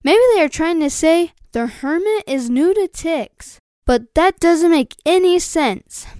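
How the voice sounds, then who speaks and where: A man's voice: very high-pitched, lively intonation, measured pace, darkish tone, no echo, studio quality, voice pushed up. A man voicing a cheerful, earnest young male skunk in a children's story, speaking simply and politely. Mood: musing.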